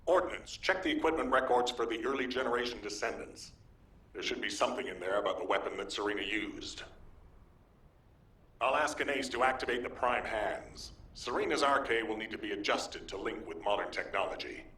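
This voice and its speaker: An actor playing a decisive, authoritative military commander in a video game, giving orders firmly. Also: male voice, medium pitch, a little fast, bright, forceful tone, close to an everyday voice. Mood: firm, commanding. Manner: firm, commanding delivery. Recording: echoing, distant from the mic.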